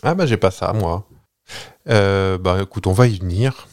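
A man with a fairly low voice hosts a casual podcast. He tells his story in a playful, meandering way and keeps stopping on hesitations as he goes. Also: measured pace, a little mellow. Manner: playful, rambling, many hesitations. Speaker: podcast host. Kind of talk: casual chat, telling a story.

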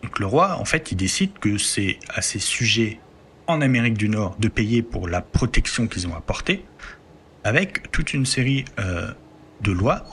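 Low-voiced man explaining history. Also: fairly bright tone, a little hiss or hum. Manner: explanatory, leans on filler words while building sentences. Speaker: host telling history. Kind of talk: conversational history podcast.

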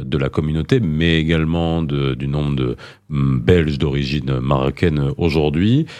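A man hosting an interview, asking long questions in an animated, chatty way and laughing easily. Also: rumbling low. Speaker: interviewer host.

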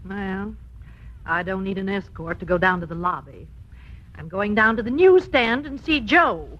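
A woman's voice: medium pitch, animated, normal speed, a bit bright. An actress playing a wry, man-hungry middle-aged aunt in an arch 1950s radio comedy style. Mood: restless, grumbling. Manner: arch, wisecracking. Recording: dull, muffled 1950s radio recording.

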